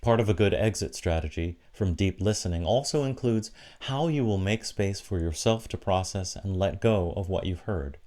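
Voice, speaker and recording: A man preaching a reflective sermon in a deliberate, encouraging manner, with short emphatic phrases. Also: deep voice, a little mellow. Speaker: preacher giving an online church sermon.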